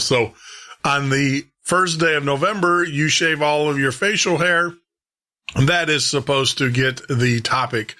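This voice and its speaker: A man's voice: mid-range voice, pushed and bright. A man hosting a radio show and podcast, talking to listeners in a casual, rambling, chatty monologue.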